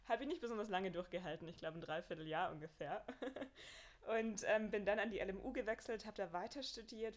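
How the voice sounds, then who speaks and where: A young woman from Bavaria with a Bavarian accent, a podcast guest and marathon runner, telling her story in a lively way. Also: mid-range voice. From Bavaria, Germany. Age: young adult. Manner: lively, laughs often, storytelling. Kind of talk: casual podcast interview.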